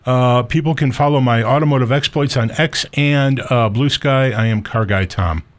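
A man's voice: mid-range voice, normal speed. A man in his fifties with a scratchy throat, co-hosting a casual podcast and complaining wryly. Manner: wry, complaining. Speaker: podcast co-host. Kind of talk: casual chat.

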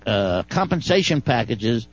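A man's voice: medium pitch, very animated, measured pace, slightly rough, no echo, dull audio. A man with a Brooklyn accent giving folksy, wry legal commentary on a talk radio show, often stumbling and repeating words.

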